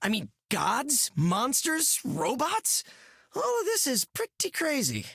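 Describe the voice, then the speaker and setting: A man's voice: very high voice, wildly swooping pitch, slow, vocal fry, fairly bright tone, breathy edge, no room sound, clean studio sound, full character voice. An actor playing a joking young male web-slinging hero with a playful, quippy manner in a video game.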